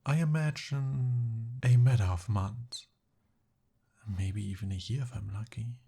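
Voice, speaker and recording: A man playing a smooth-talking, eager young captive prince in an ASMR roleplay, with formal, courtly and hesitant phrasing. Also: medium pitch, fairly even intonation, measured pace, dark tone. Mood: hopeful, coaxing.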